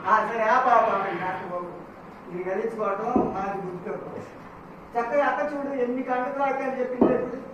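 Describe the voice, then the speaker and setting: Puppet show host, quick, questioning, gently scolding; distant mic with clear noise. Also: medium pitch, highs cut off. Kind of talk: playful scripted dialogue with puppets. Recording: very echoey, distant mic, noisy room.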